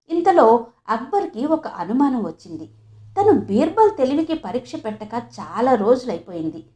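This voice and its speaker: A woman narrates a folk tale. She is expressive and conversational, often turning to address the listener directly. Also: sits high. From Andhra Pradesh, India. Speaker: storyteller, channel host. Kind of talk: expressive moral storytelling.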